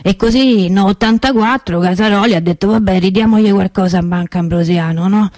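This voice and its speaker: A woman's voice: mid-range voice, brisk, darkish tone, dry recording, clean studio sound, natural voice. A woman playing a plain-spoken, cheated Roman street vendor in a theatre monologue, telling a story colloquially and hesitantly with frequent tag questions. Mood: bitter, resigned.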